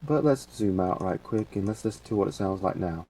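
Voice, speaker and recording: A man presenting a casual software tutorial, talking through each step as he goes in informal, folksy phrasing. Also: sits low, lively intonation, brisk. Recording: distant mic with faint background noise.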